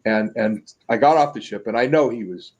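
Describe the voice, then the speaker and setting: A man's voice: mid-range voice, darkish tone, dull audio. Rambling older man on a video call. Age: 70s. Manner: rambling, halting with many restarts. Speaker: guest. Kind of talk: casual storytelling. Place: home room.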